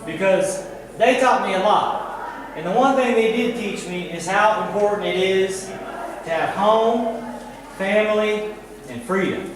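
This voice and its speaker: Echoey, high-pitched American man giving a talk. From Missouri, United States. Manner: deliberate, dramatic repetition and short phrases. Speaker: artist and teacher giving a talk. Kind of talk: storytelling artist talk to gallery visitors. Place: indoor gallery, recorded from a distance. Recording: very echoey gallery hall, distant mic, noisy.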